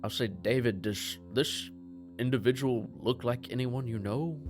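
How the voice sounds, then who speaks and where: A man's voice: medium pitch, a little slow, over music. A man playing a tabletop game, chatting casually and sometimes groping for his words.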